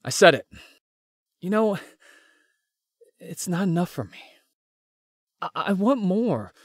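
Lovestruck young man, earnest, slow halting delivery, lively intonation, romance game, dry recording. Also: high voice, slightly rough, no room sound, heavily acted. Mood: earnest, confessing. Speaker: voice actor in a visual novel. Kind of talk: scripted anime-style romance game dialogue.

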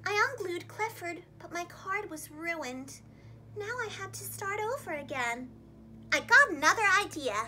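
A young woman reading a picture book aloud to small children as a storytime host, with an expressive, dramatic delivery. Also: very high voice, a little slow, slight background noise. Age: young adult. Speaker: preschool teacher and storytime host. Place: small indoor room, seated against a plain wall.

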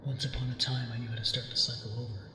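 A man voicing a weary prisoner held for a long time, calm and cryptic, in a video game cutscene, speaking in a hushed, half-whispered, measured way. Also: mid-range voice, natural voice. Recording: cavernous echo with long reverb and ambient noise.

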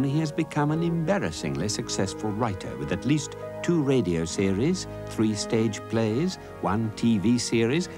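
A British man reading scripted comic documentary narration in a measured, mock-serious way. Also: fairly low pitch.

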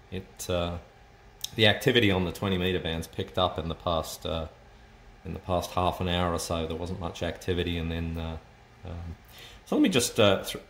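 Deep-voiced man, casual tech demo. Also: a bit bright. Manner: hesitant, explanatory, thinking aloud. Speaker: amateur radio hobbyist demonstrating a kit. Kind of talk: unscripted casual tech demonstration. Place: indoors at a home desk with computers. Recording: roomy echo, noticeable background noise.

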